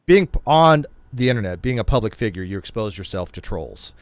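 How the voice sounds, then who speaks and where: A man's voice: lowish voice, sing-song, a little fast, dry recording. A man in his late forties hosts a loose livestream conversation. His voice rises and falls a lot, and he rambles and restarts his sentences. The sound is dry and close, with no room around it. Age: 40s. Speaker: host. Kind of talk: casual livestream chat.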